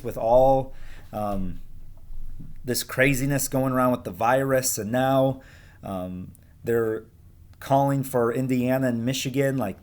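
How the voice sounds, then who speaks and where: A man's voice: mid-range voice, darkish tone. A man with an American accent talking informally and encouragingly to his pupils as a teacher. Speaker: school teacher. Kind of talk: casual talk to pupils, read-aloud.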